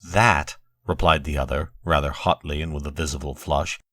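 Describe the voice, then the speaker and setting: A man narrating a horror story in a deliberate, theatrical, literary manner, like the host of a scripted show. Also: extremely low pitch, fairly even intonation, fairly bright tone, no echo.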